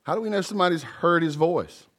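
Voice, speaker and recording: A man's voice: on the high side, fast talker, fairly bright tone. A man preaching a sermon with emphasis, calling out to the congregation and joking about his own mumbling. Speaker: pastor.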